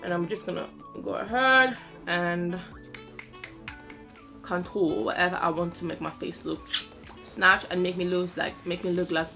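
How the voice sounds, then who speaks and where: A woman's voice: on the low side, fairly even intonation, a little slow, creaky, pauses often, sharp tone, soft background music. A young woman whose first language is French, chatting through a makeup tutorial in a sassy, playful way with slang asides. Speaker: beauty vlogger.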